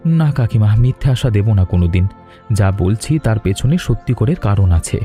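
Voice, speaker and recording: A man voicing a courteous, reassuring young traveller in a cartoon, speaking politely and earnestly in measured phrases. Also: fairly low pitch, lively intonation, dark tone, lightly acted. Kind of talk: cartoon drama dialogue. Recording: music under the voice.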